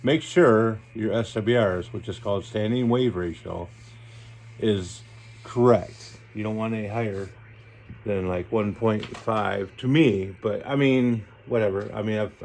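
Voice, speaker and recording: Gravelly low-voiced man, folksy and casual. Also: fairly even intonation, measured pace, darkish tone. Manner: folksy, colloquial, rambling. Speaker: radio hobbyist demonstrating equipment. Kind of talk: casual hands-on explanation.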